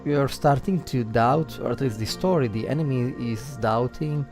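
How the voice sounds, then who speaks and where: A man's voice: medium pitch, moderate pace, over music. A man with an Italian accent, a native Italian speaker livestreaming casual game commentary, thinking aloud and searching for words. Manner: hesitant, searching for words, reflective.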